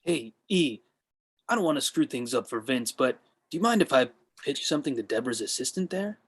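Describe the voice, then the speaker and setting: A man's voice: medium pitch, animated, a little fast, breathy edge. A man playing a pushy, deluded washed-up actor with an earnest, self-important manner in a comedy table read. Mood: cocky, scheming. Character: Drama, pushy deluded male washed-up actor pitching ideas.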